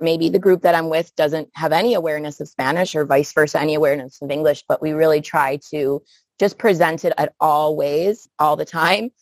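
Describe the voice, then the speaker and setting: A woman's voice: deep voice, dry recording. A middle-aged woman from upstate New York, answering interview questions thoughtfully and searching for words as she talks. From Buffalo, New York, United States. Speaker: podcast guest, outdoor play educator.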